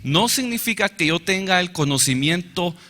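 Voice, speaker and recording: A man interpreting a Bible lecture into Spanish, following the speaker phrase by phrase in a brisk, fluent way. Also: sits high, lively intonation, pushed and bright. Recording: reverberant hall.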